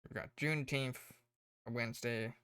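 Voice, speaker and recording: A man streaming casually, rambling and thinking aloud as he plans, his voice tired and croaky. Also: mid-range voice, steady tone, normal speed, pushed and bright, no echo. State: hoarse, tired voice. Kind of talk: casual livestream chat.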